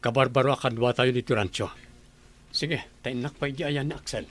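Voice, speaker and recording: A man's voice: mid-range voice, fairly quick, close to an everyday voice. A man playing a courteous ranch owner. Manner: calm, courteous.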